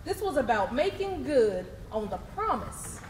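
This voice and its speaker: A woman's voice: sits high, big pitch swings, unhurried. A woman performing in readers theatre, reciting scripted lines in an oratorical style. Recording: echoey room, faint ambient noise.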